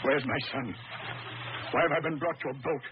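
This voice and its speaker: A man's voice: medium pitch, fairly quick, lightly acted. An actor playing an anguished, desperate doctor and father in a 1930s radio drama, urgent and theatrical. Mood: anxious, demanding. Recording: muffled, noisy old radio recording.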